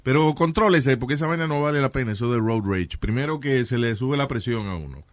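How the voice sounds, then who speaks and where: A male radio host telling stories in casual, animated chat, switching between languages and laughing. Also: medium pitch, fast, dry recording.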